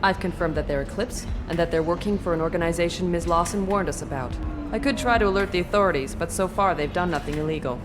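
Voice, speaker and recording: A woman's voice: lowish voice, quick pace, over music, close to an everyday voice. An actress playing a composed, deferential female contact giving formal reports in a sci-fi video game. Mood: formal concern. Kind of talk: scripted video game dialogue.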